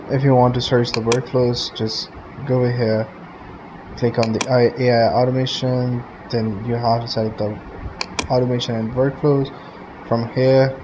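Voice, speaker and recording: A man hosting a step-by-step software tutorial, narrating instructions to viewers. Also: medium pitch. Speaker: tutorial host. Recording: muffled lo-fi audio with clear background noise.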